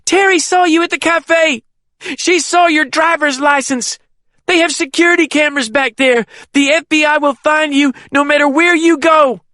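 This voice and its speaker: A man voicing a wounded young woman who is in pain, pleading and shouting in a strained, high voice. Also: fairly even intonation, normal speed, dry recording, clean studio sound. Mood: defiant shouting. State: sobbing, in pain.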